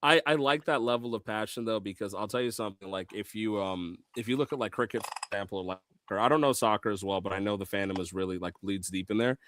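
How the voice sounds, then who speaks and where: A man from Guyana chats casually on a podcast with a crisp, resonant voice. He jokes a lot and restarts his sentences often. The sound is dry and close to the mic. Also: on the low side, quick pace, hardly any pauses, dry recording. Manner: animated, joking, lots of restarts and laughs. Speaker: podcast co-host. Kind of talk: casual chat.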